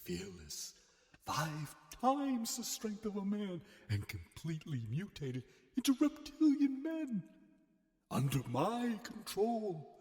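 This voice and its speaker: A man playing a sinister, grandiose mad scientist villain in a melodramatic horror audio drama, swinging theatrically from hushed to booming. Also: wildly swooping pitch, slow pace, exaggerated voice. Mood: gloating, menacing. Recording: long hall-like reverb.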